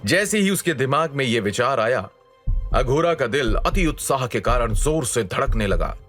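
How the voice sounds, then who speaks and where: Male narrator, mid-pitched, dramatic storytelling for a fantasy audio serial, light music bed. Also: lively intonation, moderate pace, fairly bright tone. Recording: music behind the voice. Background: background music.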